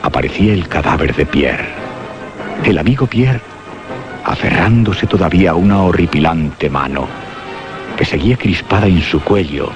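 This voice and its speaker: A man giving a dramatic, scripted radio narration of a horror story, with suspenseful pauses. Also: sits low, expressive. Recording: muffled radio drama recording. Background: prominent music.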